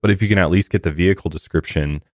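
An extremely deep male voice, captured very dry without room echo. He speaks as a podcast co-host, offering casual, conversational commentary. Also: rapid-fire, darkish tone.

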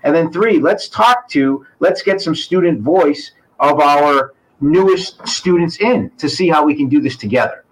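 A man's voice: on the high side, measured pace, hardly any pauses, slightly rough, low-quality recording. A man, an assistant superintendent, explaining school programs earnestly as an interview guest, listing points one after another.

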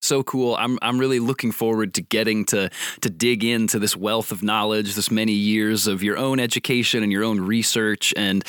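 A man from Indiana with a lowish voice hosts a podcast interview. He asks questions in a friendly, affirming way and laughs along with his guest. The recording is dry and close. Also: fairly bright tone, dry recording. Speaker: podcast host.

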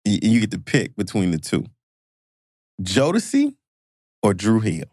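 Dude hosting a podcast, bouncy voice that jumps all over, dry close mic, playful quiz vibes. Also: male voice, lowish voice, unhurried, no room sound. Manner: playful, excitable quiz-master. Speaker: podcast co-host. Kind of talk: casual podcast chat.

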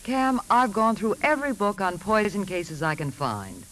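A woman's voice: mid-range voice, expressive, moderate pace, a bit bright, close to an everyday voice, poor audio. An actress playing an earnest, insistent woman suspecting a poisoning in a 1950s radio drama. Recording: hissy 1950s radio recording.

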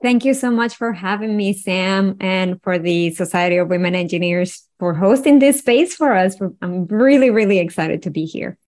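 A middle-aged woman from Venezuela with a Venezuelan accent, a podcast guest telling her story in an animated, playful way with frequent laughs. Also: medium pitch, normal speed, few pauses. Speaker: guest, wellness engineer. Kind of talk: conversational storytelling.

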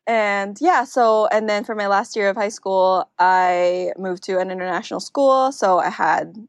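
Woman, from the Philippines, podcast co-host, mid-pitched, self-deprecating, casual storytelling, dry sound. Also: measured pace, dry recording.

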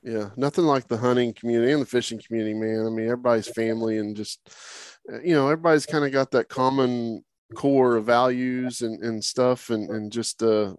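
A man's voice: medium pitch, steady tone, fairly quick, clear, resonant tone, dry recording, clean studio sound. A man hosting a casual interview show, chatting in an informal, folksy way. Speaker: show host.

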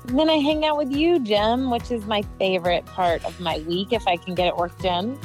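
A woman's voice: medium pitch, moderate pace, darkish tone, faint music behind, low-quality recording. A woman co-hosting a podcast over the phone, chatting playfully and teasing the host. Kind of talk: casual chat.

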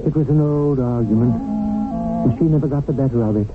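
A man's voice: medium pitch, normal speed, narrow, tinny audio, natural voice. A man playing an earnest, worried guardian spirit in a 1970s radio drama, rueful and confiding. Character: earnest, worried male guardian spirit telling his story. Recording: thin 1970s radio recording with music. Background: music.

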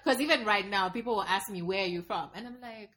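A woman's voice: mid-range voice, fast talker, a crowd in the background. A woman from Zambia hosting a casual interview, agreeing often and asking follow-up questions. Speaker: host.

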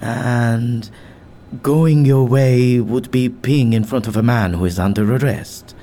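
An actress playing a deadpan, morbid, philosophical woman in an audio drama, speaking deliberately and formally. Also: a bass voice. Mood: dry.

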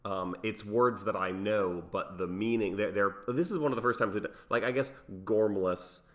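A man's voice: on the low side, normal speed. A man co-hosting a podcast in casual banter, joking and playing with words. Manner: joking with wordplay, playful. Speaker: podcast co-host.

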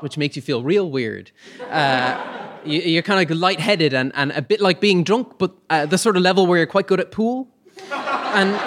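A man telling a humorous personal anecdote live on stage, in a conversational, hesitant manner with comic pauses. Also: on the high side, fairly bright tone. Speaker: storyteller on stage.

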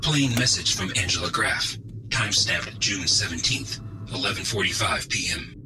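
A man's voice: low-pitched, lively intonation, normal speed, noisy background. A man playing a formal, courteous artificial intelligence that serves an undersea base in a science fiction audio drama. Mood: calm, dutiful.

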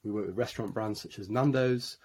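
A man's voice: on the low side, brisk, breathy edge. A man with a Yorkshire accent, a podcast guest telling how he started his business, with many uh and um fillers. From Yorkshire, England. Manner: many uh and um fillers, flat delivery. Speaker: guest, marketing agency director. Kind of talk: podcast interview storytelling.

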